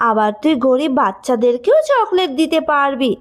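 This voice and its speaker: A woman voicing an emphatic, fussing village mother, loving but quick to scold, in a cartoon. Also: high-pitched, very animated. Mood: pleased. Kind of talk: cartoon voice acting.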